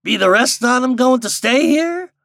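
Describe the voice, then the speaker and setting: A man's voice: extremely high pitch, lively intonation, moderate pace, gravelly, a bit bright, no echo, studio quality, pitched above the performer's own voice, heavily acted. A man voicing a sly old American backwoods guide with a folksy rustic dialect in a storybook reading. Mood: sly, talkative.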